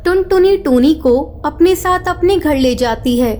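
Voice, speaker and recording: A woman's voice: high voice, animated, unhurried, mellow, a little hiss or hum. A woman narrating a scripted children's moral story.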